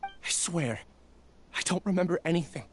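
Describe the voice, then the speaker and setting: A performer playing an earnest, guilt-ridden youth in a video game, halting and unsure in delivery. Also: mid-range voice, very noisy, natural voice. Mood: anxious, guilty. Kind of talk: scripted video game dialogue.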